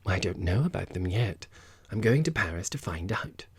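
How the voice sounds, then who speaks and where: A man's voice: low-pitched, very animated, normal speed, husky, no echo, heavily acted. A man voicing an exuberant, playful woman opera singer who talks in teasing exclamations, in an audiobook. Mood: teasing, excited. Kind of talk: audiobook character voice.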